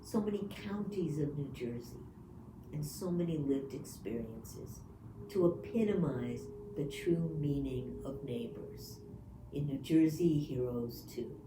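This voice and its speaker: A low-pitched woman delivers formal congratulations at a measured gait. The recording is roomy, with clear noise behind her. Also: animated. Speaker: university chancellor giving recorded remarks.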